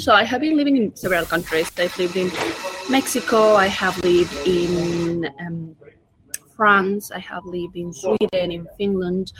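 A woman's voice: fairly low pitch, rough recording. A young woman from Peru, a researcher answering interview questions thoughtfully, with frequent hesitations. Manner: thoughtful, hesitant, many fillers. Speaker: guest, PhD researcher.

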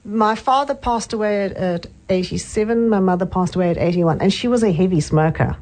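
A woman's voice: medium pitch, brisk, rough recording. An older woman with a South African accent, a guest chatting and reminiscing in an interview. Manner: reminiscing, with soft hums of agreement. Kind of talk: casual interview chat.

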